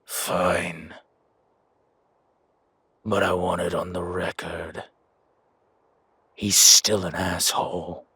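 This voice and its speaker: Man as a very deep, surly, gravelly werewolf. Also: little pitch movement, extremely slow, pauses often, bright, forceful tone, no room sound, heavily acted. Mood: grudging. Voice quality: gravelly, raspy growl. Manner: terse, grudging. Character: surly, hot-tempered male werewolf who hates vampires. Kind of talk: audio roleplay drama acting.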